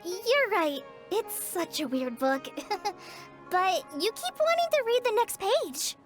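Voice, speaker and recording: A woman's voice: very high-pitched, very animated, takes it slowly, soft background music, exaggerated voice. A woman voicing a timid, polite young student in an anime video game, hesitant and nervous.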